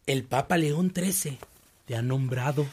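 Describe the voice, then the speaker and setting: A male actor playing a kindly, solemn senior priest, husky and measured, in a radio drama. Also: medium pitch, a little mellow, a little hiss or hum, lightly acted. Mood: solemn, affectionate. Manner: measured, paternal.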